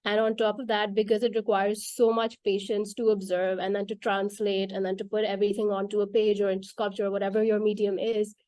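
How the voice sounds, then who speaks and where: A woman's voice: medium pitch, level tone, a little fast, few pauses, darkish tone, no echo. A woman whose first language is Urdu, earnestly explaining why art matters for children, with frequent "like" and "right?" fillers. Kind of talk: casual explanatory talk.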